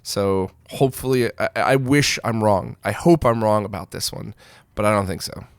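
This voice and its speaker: A man's voice: fairly low pitch, normal speed, gravelly, no room sound, studio quality. A man hosting a news roundup in a casual, blunt, opinionated way, speaking informally straight to viewers. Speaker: host of a retro gaming news show.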